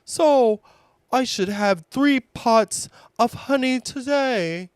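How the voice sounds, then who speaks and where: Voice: medium pitch, slow pace, clean tone, no room sound, voice pushed up, lightly acted. A narrator voicing a naive, hopeful male bear who loves honey, in a children's storybook. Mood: puzzled.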